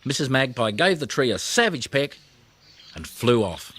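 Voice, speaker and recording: A man narrating a children's audiobook with expressive storytelling delivery. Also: mid-range voice, moderate pace, loud music behind the voice.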